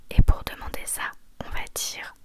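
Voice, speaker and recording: A woman whispering an ASMR roleplay as a patient, encouraging language teacher, repeating phrases softly. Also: moderate pace. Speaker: ASMR creator playing a Japanese teacher.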